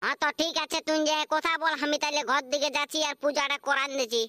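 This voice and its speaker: Brash nagging village pal, extremely high pitched-up cartoon voice, fast, Purulia Bengali accent. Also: male voice, steady tone, bright, forceful tone. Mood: scolding. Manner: brash, scolding, slangy. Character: nagging, impatient village buddy, young man. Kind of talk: comedy cartoon dialogue.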